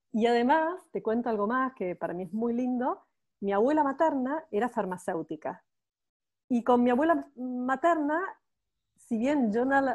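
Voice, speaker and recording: A woman with a porteño Buenos Aires accent, in her forties, chats as a podcast guest. Her voice sits a little high. She tells her stories with eager enthusiasm and frequent restarts. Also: a little mellow. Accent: Buenos Aires Spanish. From Buenos Aires, Argentina. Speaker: guest, cosmetics expert. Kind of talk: podcast interview.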